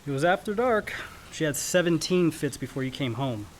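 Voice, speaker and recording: Lively young man acted, teasing and easygoing. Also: a little high, normal speed, a little hiss or hum, natural voice. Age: young adult. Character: teasing, easygoing grown son and loyal brother. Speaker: actor. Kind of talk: naturalistic radio drama dialogue.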